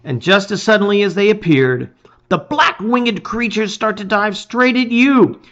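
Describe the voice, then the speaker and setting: A man's voice: high voice, a little slow, a bit bright. A middle-aged man giving a dramatic reading of a fantasy adventure, on a slightly dull recording. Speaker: storybook reader.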